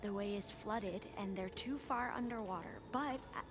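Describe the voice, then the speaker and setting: An actress playing a cautious expedition researcher in a video game, explaining things politely and hesitantly. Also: female voice, mid-range voice, moderate pace, background noise, lightly acted. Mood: tentative, explanatory.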